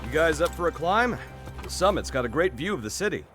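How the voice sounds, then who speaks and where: An actor playing an easygoing, friendly desert guide in a video game, with a casual, folksy way of talking. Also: male voice, fairly high pitch, big pitch swings, fairly quick, over music. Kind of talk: video game cutscene acting.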